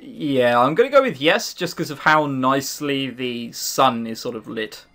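A man's voice: mid-range voice, expressive, a little slow, pushed and bright, soft background music. A man reading a visual novel aloud and mocking it with sarcastic comments, as a let's play host.

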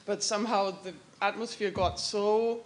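A woman math professor speaking candidly about her life on a panel in a lecture room, open and animated. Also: medium pitch, normal speed, a little hiss or hum. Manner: open, animated, gestures while talking. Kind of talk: candid personal panel remarks.

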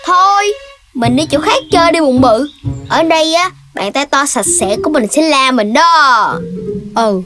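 Very high, cheeky cartoon animal kid. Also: female voice, expressive, measured pace. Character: cheeky, excitable little cartoon animal child. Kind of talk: children's cartoon dubbing. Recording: loud music behind the voice.

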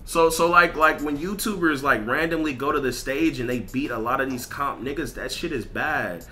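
A man's voice: mid-range voice, moderate pace, bright, forceful tone, soft background music. A man ranting casually as a gaming streamer, blunt, emphatic and repetitive, with lots of slang and swearing. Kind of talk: casual livestream rant over gameplay.

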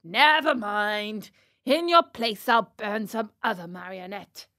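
A big, bearded bully of a puppet master from a children's storybook, acted out by a woman. The voice is loud and gruff, with slow, drawn-out orders and a soft heart underneath. The sound is close and dry. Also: dry recording. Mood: grumbling, softening. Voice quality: hoarse, booming. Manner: loud, commanding, drawn-out delivery.

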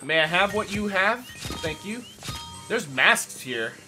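A young man with a hoarse, raspy voice chatting casually through a let's play, rambling with lots of fillers. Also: sits high, big pitch swings, slow, music in the background, low-quality recording. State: hoarse from yelling. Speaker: gaming channel host.

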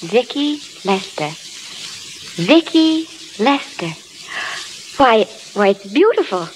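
An actress playing a sweet, eager, nervous young aspiring film starlet in old radio drama style. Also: female voice, on the high side, very animated, slow pace. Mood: wondering. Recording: old radio broadcast with audience noise.

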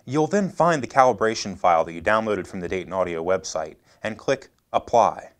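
A man's voice: fairly low pitch, expressive, a little fast. An American man in his thirties presenting a scripted product demonstration.

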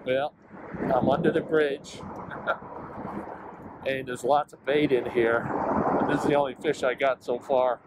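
A man's voice: mid-range voice, flat delivery, unhurried, long pauses, heavy background noise. Folksy older man vlogging outdoors. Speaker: fishing channel host. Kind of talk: casual outdoor vlog talk. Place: outdoors on a small boat on a lake.

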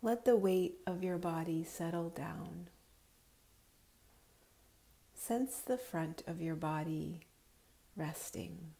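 Slow, mellow woman guiding deep relaxation. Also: creaky. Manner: calm, soothing, unhurried instruction. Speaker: yoga nidra guide. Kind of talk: guided relaxation meditation.

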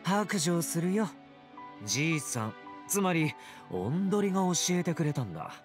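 Playful young male fighter, casual, teasing, lively intonation, Japanese game dub over faint music. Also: on the high side, normal speed. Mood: probing. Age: young adult. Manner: casual, playful, smooth-talking. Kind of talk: Japanese anime-game voice acting.

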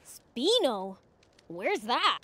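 A woman's voice: a little high, big pitch swings, drawn-out words, sharp tone, full character voice. A woman playing a brash, impish young demon prince in a video game. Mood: curious, resolved.

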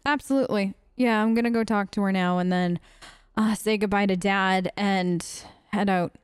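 A woman's voice: mid-range voice, fairly even intonation, moderate pace, clear, resonant tone, no room sound, studio quality. A woman gamer speaking informally and conversationally as she role-plays her character in a let's play.

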